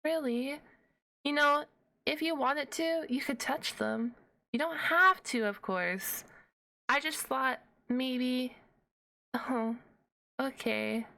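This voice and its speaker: A woman's voice: high voice, slow, vocal fry, pauses often, sharp tone, heavily acted. A woman voicing a shy, nerdy, flustered half-dragon librarian in an ASMR roleplay, hesitant and rambling with awkward humour. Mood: flustered, bashful.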